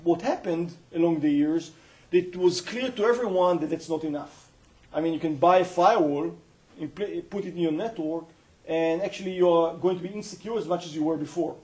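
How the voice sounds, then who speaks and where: Mellow-voiced man with an Israeli accent. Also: on the high side, moderate pace, rough recording. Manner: earnest, explanatory, with frequent hesitations. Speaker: company founder giving a guest lecture. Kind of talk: university colloquium talk. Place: university lecture room.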